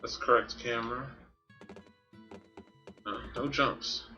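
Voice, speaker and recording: Bright-voiced man, casual gaming commentary. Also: medium pitch, fairly even intonation, slow, dull audio. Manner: casual, musing, slangy. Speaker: gaming streamer. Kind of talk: casual let's play commentary. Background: game music.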